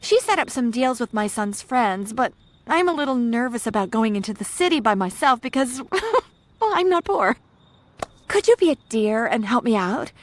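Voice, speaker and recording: A woman's voice: a little high, animated, moderate pace, no room sound, close to an everyday voice. An actress playing a prim, snobbish suburban mother in a video game, chirpy, fussy and condescending. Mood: flustered, condescending.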